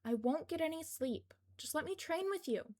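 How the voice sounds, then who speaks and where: A woman performs a tired teenage boy in an audiobook story. The voice is fairly high and earnest, stubborn and a little pleading. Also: animated, normal speed, dark tone. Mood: stubborn, whining. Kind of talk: fan fiction audiobook character dialogue.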